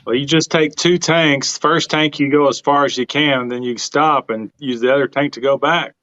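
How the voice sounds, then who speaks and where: A man's voice: medium pitch, steady tone, moderate pace, bright, forceful tone, no echo. Man chatting on a dull, dry mic. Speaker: livestream co-host. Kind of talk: casual chat.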